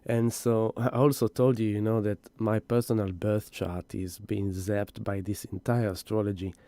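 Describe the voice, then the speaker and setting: Husky, tired man with a low, mellow voice. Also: flat delivery, normal speed. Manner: gentle, rambling, greets viewers by name. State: exhausted and grieving. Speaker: spiritual astrology host. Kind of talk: live stream energy update.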